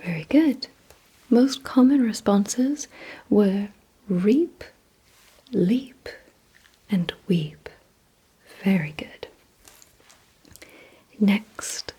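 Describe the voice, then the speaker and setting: A woman whispering in an ASMR roleplay, giving gentle instructions in a breathy, mostly voiceless hush with deliberate pauses. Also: mid-range voice, poor audio. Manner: slow, deliberate, hushed delivery with short pauses. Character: calm, softly commanding female vampire examiner. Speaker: ASMR performer.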